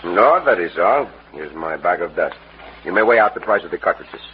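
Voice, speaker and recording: Courteous Mexican-accented Western hero, shrewd and formal, expressive intonation, muffled vintage radio sound. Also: male voice, sits low. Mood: coolly firm. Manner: measured, courteous, formal phrasing. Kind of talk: 1950s Western radio drama acting. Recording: muffled old radio broadcast, faint noise.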